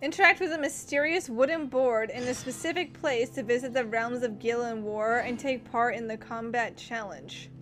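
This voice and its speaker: A woman's voice: on the high side, moderate pace, few pauses, a bit bright, soft background music. A woman giving casual, gushing commentary as she plays through a video game. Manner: gushing, giggly reactions. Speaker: gaming video creator.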